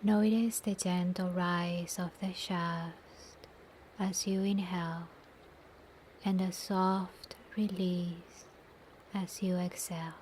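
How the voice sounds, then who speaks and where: Soft, very slow woman guiding meditation. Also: lowish voice, little pitch movement, a little hiss or hum, rough recording. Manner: soft, breathy, near-whispered, soothing delivery with long pauses. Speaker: guided meditation instructor. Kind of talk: guided meditation, slow scripted instruction. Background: soft nature ambience.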